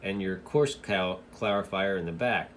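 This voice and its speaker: A man giving a casual, hands-on product review in a halting way, as a hobbyist. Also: low-pitched, a bit bright, a little hiss or hum. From United States.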